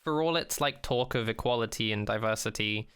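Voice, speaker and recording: A man co-hosting a podcast in casual, opinionated chat, animated and sardonic, with a mock-dramatic streak. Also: fairly low pitch, a little fast, clear, resonant tone. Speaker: podcast co-host.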